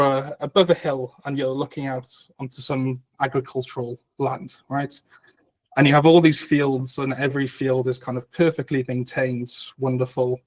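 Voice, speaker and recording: Male academic, thirties, mid pitch, chatty, hesitant and explanatory. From Cardiff, Wales. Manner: frequent ums, patient and explanatory. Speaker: guest academic, lecturer. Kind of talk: conversational explanation.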